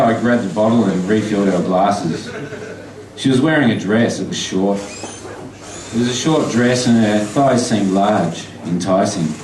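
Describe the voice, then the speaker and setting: An Australian man, a poet, reading his poems and flash fiction aloud at a live event, chatty and halting between pieces, slow and deliberate when reading. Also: fairly low pitch. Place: pub function room. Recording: echoey pub from far off with noise.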